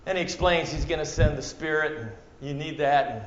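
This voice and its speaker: Male preacher, Minnesota accent, fairly high voice, dramatic delivery, echoey muffled room. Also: fairly quick. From central Minnesota. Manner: dramatic, swings from loud to hushed, acts out quotes. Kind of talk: sermon.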